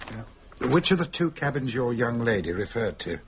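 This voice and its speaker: An actor playing an inquisitive listener to a detective tale in a radio drama, musing and probing. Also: male voice, medium pitch, very animated, moderate pace, background noise. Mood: curious.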